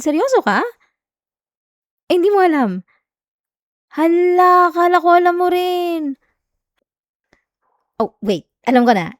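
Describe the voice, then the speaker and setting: A woman with a Filipino accent playing a sweet, clingy young wife in an intimate ASMR roleplay, speaking softly and affectionately close to the mic. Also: very high voice, no room sound, exaggerated voice. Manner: soft, giggly, affectionate, coaxing. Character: sweet, clingy, teasing young wife soothing her partner. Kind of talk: intimate ASMR roleplay, bedtime chat and story reading. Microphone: close microphone, dry sound.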